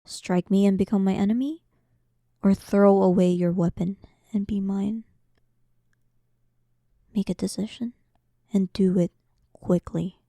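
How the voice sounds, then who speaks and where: Woman voicing a demon queen, audio roleplay, very mellow dark tone, unhurried, breathy half-whispers, sarcastic, dominant, toying with the listener. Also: mid-range voice, fairly even intonation, no echo, studio quality. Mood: commanding. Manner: slow, teasing, condescending, breathy half-whispers. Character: powerful female demon queen, dominant, sarcastic, playfully taunting.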